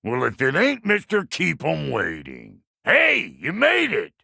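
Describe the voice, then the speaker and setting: An actor playing a gruff, foul-mouthed dwarf blacksmith in a video game, with a raspy voice, folksy rustic slang and swaggering insults. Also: male voice, on the high side, wildly swooping pitch, unhurried, bright, forceful tone, no room sound, studio quality, full character voice. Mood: brusque, wry. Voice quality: gravelly, raspy. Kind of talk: video game character acting.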